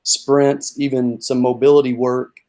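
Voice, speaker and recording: Young man, monotone delivery, easygoing coach with a casual, halting style. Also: medium pitch. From North Carolina. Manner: relaxed, with many fillers and repeated words. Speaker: strength and conditioning coach. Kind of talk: casual interview answers.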